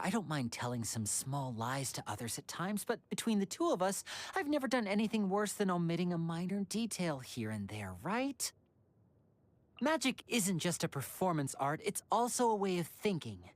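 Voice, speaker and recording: A male actor playing a suave, sly young stage magician in a smooth-talking, theatrical game voice. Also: sits high, dry recording. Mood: thoughtful. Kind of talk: scripted game voice acting.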